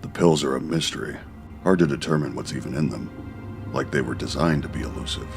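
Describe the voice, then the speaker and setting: A very deep, stern male vigilante, acted by a man for a comic fan dub. He speaks in curt, brooding phrases over faint music. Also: fairly quick.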